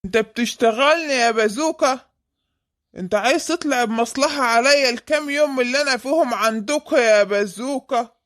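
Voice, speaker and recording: An elderly Egyptian cartoon grandfather with a high voice in a dry recording. He is kindly and fussy, easily rattled, and draws out his exclamations like a comic storyteller. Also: brisk, fairly bright tone, no room sound. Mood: exasperated. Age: older.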